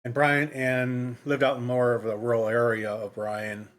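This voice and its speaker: A man's voice: medium pitch, completely flat delivery, moderate pace. An American man co-hosting a podcast, discussing books casually in a rambling way and repeating his phrases. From Michigan and Texas, US. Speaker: book podcast co-host.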